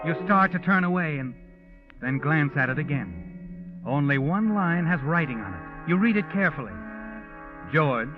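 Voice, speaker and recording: High-voiced, taunting mystery narrator. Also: male voice. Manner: taunting, insinuating, second-person narration. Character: sardonic, all-knowing shadowy male storyteller, taunting. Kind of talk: 1940s radio mystery narration. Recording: dull vintage radio with music. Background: music.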